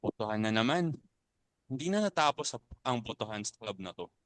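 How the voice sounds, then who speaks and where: A man's voice: mid-range voice, animated, a little fast, a bit bright, no echo, close to an everyday voice. A man with a Filipino accent playing a principled, compassionate club uncle in a dramatic reading. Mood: exasperated. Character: principled older club uncle urging compassion over fear.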